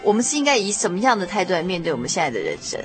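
Quick-talking female radio interviewer. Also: on the low side, sing-song, soft background music. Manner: attentive, frequent short affirming murmurs.

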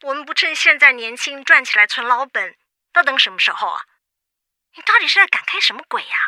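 An actress playing a brash, nosy young best friend, blunt and exclamatory in Taiwanese Mandarin, in a radio drama. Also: female voice, sits high, very animated, brisk, bright, forceful tone, no echo, clean studio sound, full character voice. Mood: brash, teasing.

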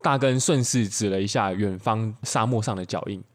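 A man's voice: lowish voice, normal speed. A man improvising comic fairy tales playfully as a podcast storyteller.

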